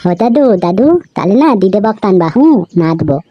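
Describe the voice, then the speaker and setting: A woman's voice: medium pitch, expressive, fairly quick, dull audio, heavy background noise, close to an everyday voice. A woman voicing a bratty, demanding, foul-mouthed little grandchild in a comedy cartoon. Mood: excited, pleading.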